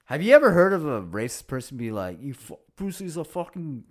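Animated young man in casual banter. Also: fairly high pitch, brisk. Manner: animated, swearing, acts out quoted people. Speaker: podcast co-host. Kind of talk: casual chat.

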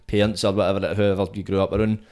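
A Scottish man hosting an interview, chatty and matey, with a booming voice. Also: level tone, quick pace, darkish tone, clean tone. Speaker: podcast host. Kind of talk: casual interview chat. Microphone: dynamic studio mic.

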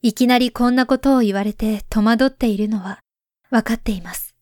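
A solemn woman reading a warning letter aloud in a voice drama, voiced by a woman. Her voice sits fairly high but stays hushed and soft, often slipping toward a whisper. The sound is close and dry. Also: steady tone, moderate pace, dry recording, natural voice. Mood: earnest. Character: grown woman writing to her past self, grave and pleading.

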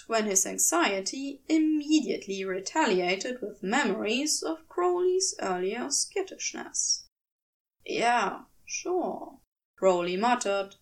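A woman reading scripted fiction aloud as an expressive audiobook narrator. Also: fairly high pitch, distant mic. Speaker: podfic narrator.

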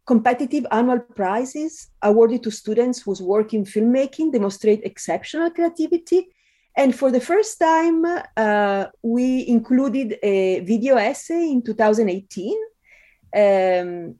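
Higher-voiced Italian woman, hesitant in conversation. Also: dark tone. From Italy. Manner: hesitant, frequent ums and pauses. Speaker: interview guest, film scholar. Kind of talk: conversational interview answers.

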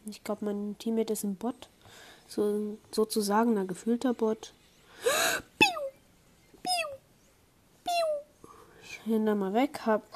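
A young woman commenting on her own online match, excitable, swinging from low muttering to high squeals. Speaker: gamer streamer. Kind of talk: live gameplay commentary.

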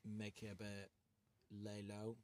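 A man's voice: fairly low pitch, completely flat delivery, a little slow, slight background noise. A man with an accent, co-hosting a casual podcast chat, rambling and restarting his sentences. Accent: non-native English accent. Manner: rambling, restarts often, laughs loudly. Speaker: podcast co-host.

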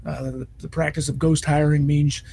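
A man with a scratchy, hoarse voice giving news commentary as an editor, hesitant with many fillers and restarts. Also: fairly high pitch, flat delivery, moderate pace, dark tone. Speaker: executive editor.

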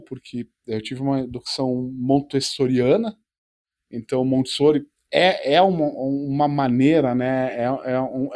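A man in his forties, a startup founder and podcast guest, telling his life story in a relaxed way with self-deprecating jokes. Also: medium pitch. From Brazil. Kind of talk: podcast interview.

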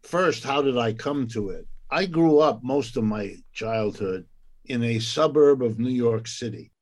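An older American economics professor telling his life story in an unhurried way, with frequent pauses and fillers. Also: mid-range voice, darkish tone. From United States. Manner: unhurried, many "uh" and "um" fillers, occasional laughs. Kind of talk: reflective personal storytelling.

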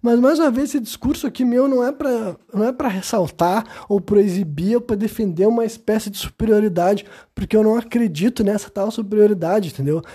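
Rambling Brazilian man, dark mellow voice. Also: high-pitched, brisk, few pauses, slightly rough. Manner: rambling, constant "sabe?" tags, hesitant restarts. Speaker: podcast host. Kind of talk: casual monologue.

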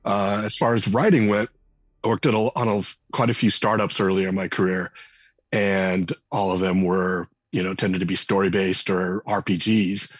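A middle-aged man, a game writer and designer, talking casually in an interview and telling relaxed stories with frequent restarts. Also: sits low, moderate pace, husky, no echo. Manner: relaxed storyteller, many restarts and fillers.

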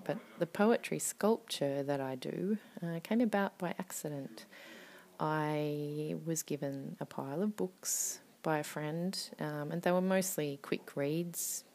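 A woman poet speaks casually as a podcast guest, pausing on ums and letting her words trail off softly. Her voice is very low with a gravelly fry in it. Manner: hesitant, many ums, soft trailing-off words. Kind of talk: casual interview talk.

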